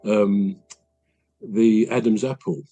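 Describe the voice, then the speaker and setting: A man with a naturally deep voice hosts a relaxed podcast chat. His tone is low and mellow, and he explains things patiently with frequent ums and uhs. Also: soft background music. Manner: measured, explains at length with many um and uh. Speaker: podcast host.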